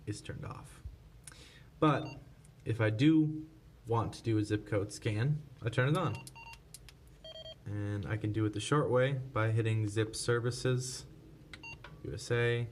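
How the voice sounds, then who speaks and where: Man, lowish voice, casual tutorial narration, unscripted, step-by-step, some background noise. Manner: informal, unscripted step-by-step explaining. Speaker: presenter of product tutorials.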